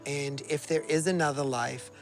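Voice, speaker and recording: A man's voice: fairly high pitch, fairly even intonation, normal speed, soft background music. A man in his fifties from Massachusetts, speaking openly and reflectively in a personal documentary interview. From Massachusetts, USA. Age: 50s. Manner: open, heartfelt, reflective.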